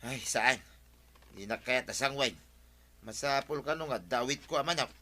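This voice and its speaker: A man's voice: mid-range voice, normal speed, sharp tone. A man with an Ilocano Filipino accent playing a scheming village husband in a radio comedy drama. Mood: pondering. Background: music.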